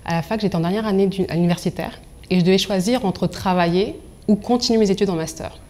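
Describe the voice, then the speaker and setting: Expressive young woman telling her story. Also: medium pitch, quick pace. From Angola and Congo. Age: young adult. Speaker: professional confidence coach being interviewed. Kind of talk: personal storytelling in an interview.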